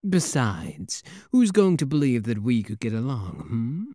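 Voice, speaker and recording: Male actor as flirtatious demon prince, romantic audio roleplay, courtly drawl, teasing mock menace, highly animated intonation, very dry close recording. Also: a little slow, dry recording. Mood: playful, seductive.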